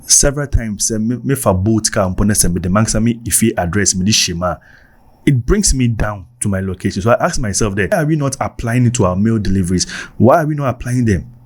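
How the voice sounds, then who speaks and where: A Ghanaian man with a Ghanaian accent, casually telling personal stories for his vlog, animated and repeating himself for emphasis. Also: on the low side, a little fast. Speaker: vlogger.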